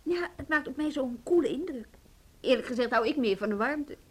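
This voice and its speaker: An actress playing an assertive, direct woman with a quick wit in a radio play. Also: high voice, lively intonation, slightly rough. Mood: light, chatty. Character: assertive, sharp-witted woman pressing her case. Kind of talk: Dutch radio play acting. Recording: noisy old radio drama recording.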